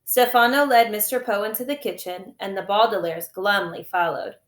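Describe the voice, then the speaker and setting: A woman's voice: medium pitch, steady tone, normal speed. A woman narrating a children's storybook as a teacher reading aloud. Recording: echoey room.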